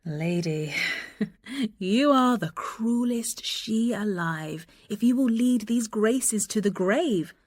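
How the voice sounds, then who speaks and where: A young woman passing as a gentleman envoy, earnest and ardent. The verse is unhurried and lyrical, with bold feeling, in classical radio drama style. The sound is close and dry. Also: a little high, lively intonation, dry recording. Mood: admiring, wry. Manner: earnest, lyrical, deliberate delivery.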